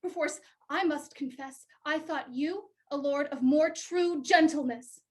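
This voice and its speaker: Wounded, proud young woman in a stage play, a woman in the role, high voice, reproachful verse. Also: unhurried. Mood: indignant. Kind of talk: Shakespearean stage acting.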